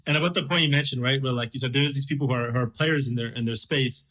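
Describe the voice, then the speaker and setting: A man hosting an interview podcast, talking in halting bursts with frequent restarts. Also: mid-range voice, fairly bright tone. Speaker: podcast host. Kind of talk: interview conversation.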